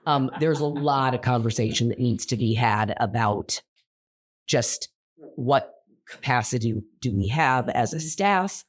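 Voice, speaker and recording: A man's voice: medium pitch, lively intonation, unhurried. A man co-hosting a casual podcast as theater director, thinking aloud with many fillers and restarts while recovering from illness.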